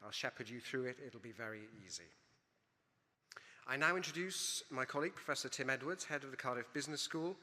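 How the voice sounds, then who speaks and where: A middle-aged man with a Welsh accent, a university provost giving a playful, emphatic welcome speech at a graduation ceremony, slowing down to stress key words. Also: mid-range voice, animated, bright, forceful tone. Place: large hall at a graduation ceremony. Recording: long hall reverb.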